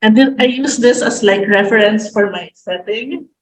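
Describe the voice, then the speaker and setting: Filipino woman, halting, in an echoey room. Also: medium pitch. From Philippines. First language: Tagalog. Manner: halting, many fillers and restarts. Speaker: guest writer. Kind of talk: casual conversation. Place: room at home.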